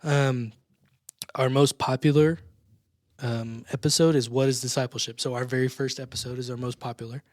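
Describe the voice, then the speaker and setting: A man hosts a small church podcast in a loose, friendly chat. He laughs often and trips over his words as he goes. His voice sits in a middle pitch and sounds close and dry. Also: fairly even intonation, normal speed, a little mellow, no room sound. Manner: chatty, laughs often, stumbles and restarts. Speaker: podcast host.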